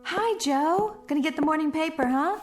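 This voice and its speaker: A woman plays an earnest, ordinary working gal in a radio drama. Her voice sits very high, plain and friendly, a little wistful and halting, with music clearly behind it. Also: close to an everyday voice. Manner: plain-spoken, wistful, hesitant.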